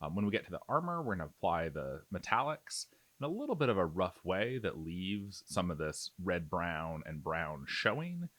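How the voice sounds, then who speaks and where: A man's voice: deep voice, very animated, moderate pace, few pauses, a bit bright, no room sound. A man giving a casual, explanatory step-by-step tutorial voice-over in an informal way. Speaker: miniature painting tutorial host.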